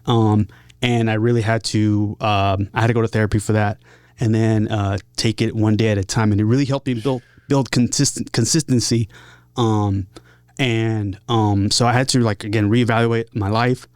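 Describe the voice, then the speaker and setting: A low-pitched man talks candidly as a podcast guest. He is earnest and open, moving through short, halting phrases. The sound is close and dry. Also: little pitch movement, a little mellow, clear, resonant tone, no echo. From San Diego area, United States. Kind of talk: casual, candid interview talk.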